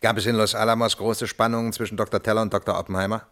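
An actor playing a sober, probing lawyer who questions a witness formally and matter-of-factly in a German radio play. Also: male voice, fairly low pitch, flat delivery, rapid-fire, fairly bright tone, close to an everyday voice. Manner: formal, matter-of-fact, insistent.

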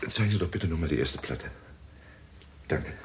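An actor playing a brisk, courteous leading astronomer in a 1960s German radio drama. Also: male voice, very deep voice, expressive. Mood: absorbed, puzzled. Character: Doctor Marlow, brisk leading astronomer at observatory. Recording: 1960s radio recording with background noise.

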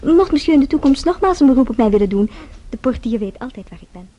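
A discreet female hotel maid in a radio drama. She is formal and deferential with a sly edge, in a soft-toned, slightly high voice. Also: animated, fast, muffled recording. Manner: polite, formal, careful. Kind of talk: radio play acting.

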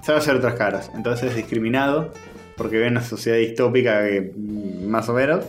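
A man's voice: medium pitch, fairly quick. A man with an Argentine accent in casual, slangy, joking podcast chat about films. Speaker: podcast co-host. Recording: roomy echo, faint music underneath.

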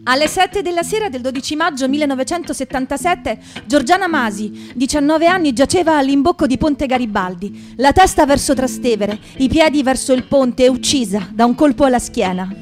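High female voice, actress as sober chronicler, measured factual recital, stage drama, music behind. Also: animated. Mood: sober, grave. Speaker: actress in a theatre performance. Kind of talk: scripted documentary theatre narration.

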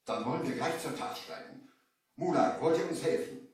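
A man's voice: a little high, animated, moderate pace, recorded from a distance, natural voice. A man as an earnest merchant adventurer, echoey. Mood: hopeful, courteous. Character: earnest male merchant from Baghdad recounting his adventure. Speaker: narrator and lead character. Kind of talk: scripted storytelling, 1970 audio drama. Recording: echoey vintage LP, off the mic.

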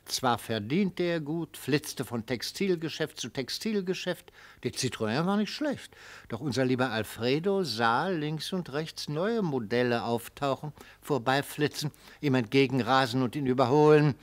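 A man's voice: mid-range voice, expressive, a little slow, natural voice. An actor playing a sly, gleeful retired prosecutor in a radio play, an older man speaking in florid, theatrical rhetoric. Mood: dramatic, insinuating. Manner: theatrical, rhetorical, relishing every phrase. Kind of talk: German radio play acting.

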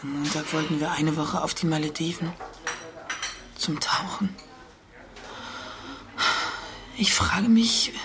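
A woman's voice: low-pitched, a little slow, heavy background noise. A woman playing a worried, indignant middle-aged mother in a naturalistic radio drama, hesitant and then insistent. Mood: troubled.

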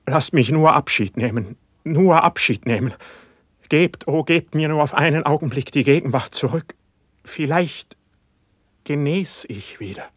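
An actor playing an anguished, distrustful poet on the edge of madness, declaiming classical verse in a radio play. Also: male voice, on the high side, expressive, unhurried, no room sound, clean studio sound. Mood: despairing. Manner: declamatory, lamenting, impassioned.

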